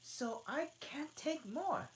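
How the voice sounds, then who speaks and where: A woman's voice: sits high, animated, slow pace, slight background noise, pitched above the performer's own voice, poor audio. A woman voicing a polite, curious young girl in a storybook reading. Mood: earnest.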